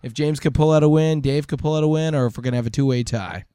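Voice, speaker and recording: A man's voice: fairly high pitch, fast, warm tone, clean tone, no room sound, studio quality. A man hosting a podcast quiz in a casual, chatty, teasing way, running the game as he banters. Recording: video game music playing under voice. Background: video game music.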